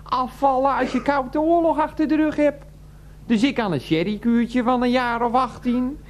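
An actress playing a chatty, rambling middle-aged psychiatric patient in a radio play, breezy and folksy. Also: female voice, sits high, moderate pace, lightly acted. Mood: rambling, confiding. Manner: rambling, folksy, repeats a pet phrase.

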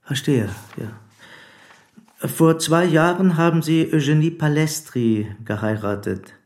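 Formal, probing police commissioner. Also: male voice, a little high, darkish tone. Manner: formal, deliberate, insistent. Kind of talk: German radio play acting.

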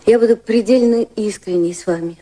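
An actress playing a candid, proud younger society woman with a romantic streak in a radio play, speaking in a formal, frank, measured way. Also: medium pitch, dark tone, close to an everyday voice, poor audio. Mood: frank, gentle.

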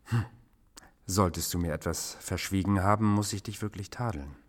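An actor playing an insecure, easily led king in a fantasy radio drama, husky, affable and self-doubting. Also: sits low, moderate pace. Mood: doubtful curiosity.

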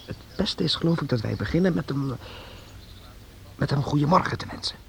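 A courteous young apprentice in a radio play. His voice sits a bit high, and he speaks with curiosity and some hesitation. Light noise runs through the old recording. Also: expressive, brisk, creaky. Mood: wary, calm. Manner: courteous, hesitant, stammering when addressing his elder. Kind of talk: radio drama acting.

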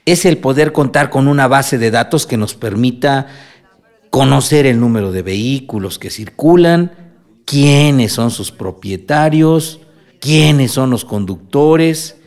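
Un actor de radionovela que interpreta a un regidor de seguridad formal y metódico que enumera propuestas. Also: male voice, mid-range voice, a little mellow. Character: male security councillor, formal and methodical. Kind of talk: radio drama acting.

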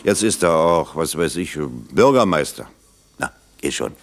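An actor in a German radio play playing a kindly, grumbling older locksmith who talks plainly and colloquially. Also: male voice, low voice, expressive, unhurried. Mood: fatherly. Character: kindly, grumbling, self-deprecating older male factory locksmith.

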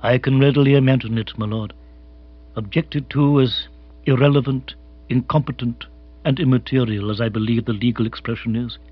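A man voicing an imperturbable, deferential English manservant in a comic storybook, formal, measured, precise and deadpan. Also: medium pitch, muffled recording, lightly acted. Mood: calm, precise.

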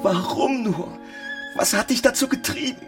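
An actor in a radio drama playing a desperate, devoted husband who pleads in an agitated, helpless way. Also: male voice, high-pitched, moderate pace, over music, natural voice. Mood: pleading, grieving. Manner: pleading, agitated, repeating himself. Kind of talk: literary radio drama acting.

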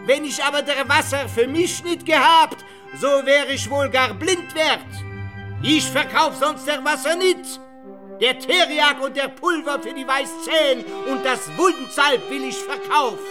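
A woman's voice: high-pitched, measured pace, over music. An actress playing a quack peddler in a radio drama, hawking cures like a salesman in broken, German. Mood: insistent, hawking.

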